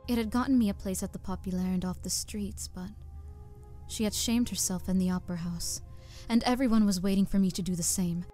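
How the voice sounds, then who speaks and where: A woman's voice: mid-range voice, a little fast, dark tone, natural voice. A woman voicing an earnest young opera chorus singer who reflects on her past, in a fan audio drama with faint music behind.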